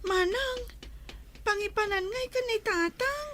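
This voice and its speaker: A woman's voice: extremely high pitch, a little slow, noisy background. An actress voicing a forlorn young schoolgirl, a plaintive little sister in a radio drama. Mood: anxious.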